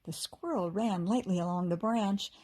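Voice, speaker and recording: A woman teacher reads a children's story aloud. Her delivery is expressive and animated, moving between narration and the voices of the characters. Her voice sits at a medium pitch with a slightly husky edge. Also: moderate pace.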